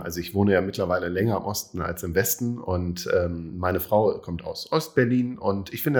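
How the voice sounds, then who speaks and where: A man in his late thirties from Berlin, a politician chatting casually in an interview, self-deprecating and full of fillers. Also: lowish voice. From Berlin, Germany. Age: 30s. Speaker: politician guest.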